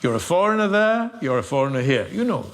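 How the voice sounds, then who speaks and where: A man's voice: mid-range voice, big pitch swings, racing. A man speaking emphatically as an interviewee in a documentary.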